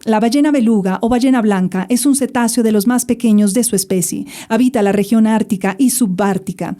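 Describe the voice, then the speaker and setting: A woman voicing a calm, formal newsreader, reading in a measured, even way. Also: fairly high pitch, lightly acted. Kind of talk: demonstrating a neutral newsreading voice.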